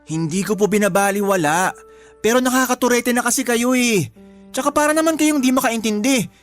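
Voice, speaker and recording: A man's voice: very high-pitched, fairly quick, fairly bright tone, soft background music. A man playing a sullen, stubborn twenty-year-old son who argues back in a radio drama. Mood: whiny, defiant. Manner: whiny, argumentative.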